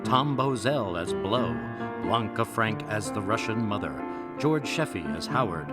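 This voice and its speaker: A male announcer with a fairly low voice reads scripted credits for a radio drama. Loud guitar and piano music plays behind him. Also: lively intonation, measured pace.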